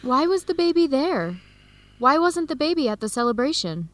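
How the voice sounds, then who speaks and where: An actress playing a hopeful, sincere young woman in an educational radio drama, phrasing her words deliberately. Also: high voice, big pitch swings. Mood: concerned. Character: hopeful young woman adoptee searching for her birth family.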